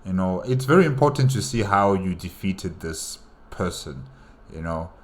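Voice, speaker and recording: A man's voice: low voice, lively intonation, normal speed. A man giving casual reaction commentary, thinking aloud in a hesitant way. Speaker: reaction video host.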